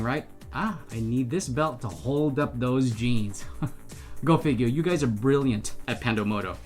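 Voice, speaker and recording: A man doing a casual unboxing vlog, chatting and thinking aloud with quick asides. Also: medium pitch. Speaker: motorcycle vlogger. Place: indoor bedroom.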